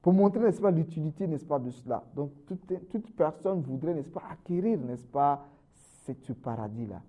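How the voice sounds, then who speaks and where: A man delivers a sermon with a high-pitched but warm, mellow voice. He lifts his phrases loud and high to press each point, then lets them drop low and soft. He keeps inserting "n'est-ce pas" as he goes. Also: expressive, normal speed. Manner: says "n'est-ce pas" constantly, swings from raised emphatic phrases to low trailing ends. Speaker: Islamic preacher.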